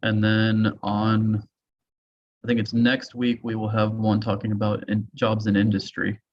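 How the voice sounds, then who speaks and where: A man's voice: lowish voice, completely flat delivery, a little slow. A man hosting an informal webinar over a video call, speaking casually with hesitant phrasing. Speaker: host and moderator. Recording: dull, muffled video-call audio.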